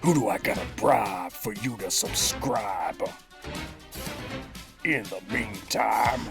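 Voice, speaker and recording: A man voicing a cocky, menacing cartoon master criminal who talks in theatrical street slang while presenting a scripted toy review. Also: lowish voice. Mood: menacing, playful. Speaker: host of a toy figure review. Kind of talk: scripted in-character review with radio-drama flair.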